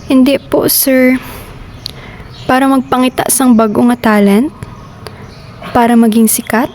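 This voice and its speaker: Actress as young female contestant, high-pitched, Filipino accent, amateur radio drama. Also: steady tone, a little slow, darkish tone, a little hiss or hum. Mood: questioning.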